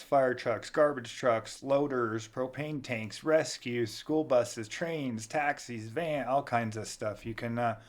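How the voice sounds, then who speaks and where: A man hosting a software tutorial, giving informal step-by-step instructions in a casual way. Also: medium pitch. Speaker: tutorial host.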